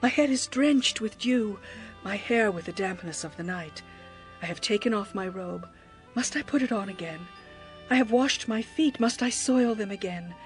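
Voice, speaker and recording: A woman plays a passionate young bride full of longing in a scripture drama. Her voice is medium in pitch, with poetic, expressive phrasing. Faint music runs underneath. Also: moderate pace, close to an everyday voice. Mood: breathless longing. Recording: music behind the voice.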